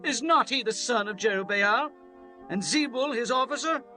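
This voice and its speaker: A woman's voice: fairly high pitch, expressive, moderate pace, bright, forceful tone. A woman playing a defiant, urgent character in a dramatized scripture reading, with declamatory archaic diction. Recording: faint music behind. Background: music.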